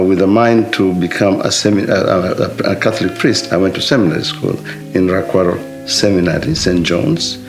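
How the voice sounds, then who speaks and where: A Kenyan man, founder of a foundation, telling his story in a halting, measured way with many pauses. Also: deep voice. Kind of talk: personal account, interview-style.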